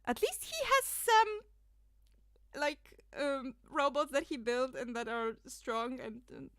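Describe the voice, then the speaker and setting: A woman's voice: high-pitched, slow, a bit bright, no room sound, studio quality. A woman from Poland, rambling and laughing through casual livestream commentary, full of fillers. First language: Polish. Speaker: streamer.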